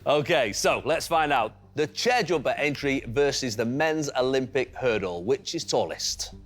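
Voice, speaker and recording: A man's voice: medium pitch, animated, a little slow. A man with a Manchester accent hosting a TV quiz show, chirpy and encouraging, building suspense over each answer. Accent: Manchester English. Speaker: game show host. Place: TV studio.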